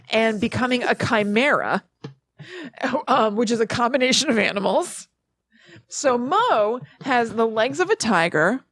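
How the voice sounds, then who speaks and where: Higher-voiced woman, casual podcast co-host. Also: a bit bright, no room sound. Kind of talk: casual chat, informal explaining.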